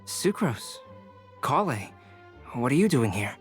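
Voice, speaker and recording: A calm young male scholar in an anime fantasy game. His voice is medium in pitch and cool, with music underneath. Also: slow.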